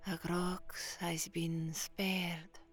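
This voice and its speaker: Casting: woman playing a weary young heroine of a dystopian audio drama, measured and slow, narrating and reading bulletins. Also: lowish voice, little pitch movement, soft background music. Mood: weary, introspective. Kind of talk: first-person audio drama narration and newsreading.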